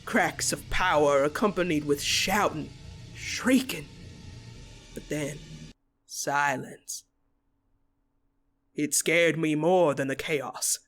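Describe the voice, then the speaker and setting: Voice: mid-range voice, takes it slowly, pauses often, a bit bright, soft background music. An actor voicing a frightened clawed monster, stammering and theatrical as it recounts a horror tale. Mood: haunted storytelling. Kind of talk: dramatic comic-dub storytelling and character acting.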